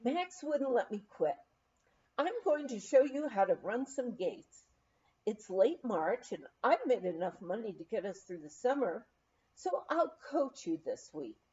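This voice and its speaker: A woman reads a memoir aloud in an expressive, measured storytelling manner, on a somewhat dull recording. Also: on the high side. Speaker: audiobook reader.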